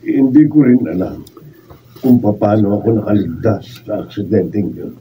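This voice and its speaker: A man's voice: fairly low pitch, animated, normal speed, dark tone, far from the mic, a little hiss or hum, lightly acted, rough recording. A Filipino man acting in a radio drama as a shaken husband who survived a crash. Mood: troubled, recounting.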